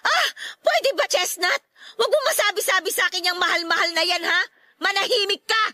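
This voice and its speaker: A woman's voice: extremely high pitch, fairly quick, pushed and bright. A woman playing an angry, nagging middle-aged mother in a Filipino radio drama, harsh and sharply emphatic. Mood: angry, scornful. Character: angry, scolding mother who scorns her daughter's boyfriend.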